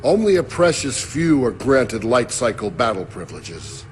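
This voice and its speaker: A man's voice: medium pitch, very animated, normal speed, faint music behind, lightly acted. An actor playing a smug male ruler of deadly digital games, with a formal, condescending manner. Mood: smug, menacing. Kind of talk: cinematic video game acting.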